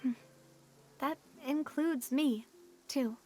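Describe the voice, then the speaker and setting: A high, youthful female voice for a self-assured young boss in a game. She talks breezily and with good humour, expressive in her hums and sighs. Music sits faintly behind her. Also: slow pace. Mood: thoughtful. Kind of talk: video game character acting.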